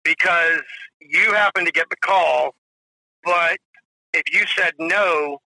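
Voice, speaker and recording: 40s drummer guy rambling thru stories, kinda high voice, lots of "you know". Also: slow, vocal fry, sharp tone, rough recording. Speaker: drummer guest. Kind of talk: casual interview storytelling.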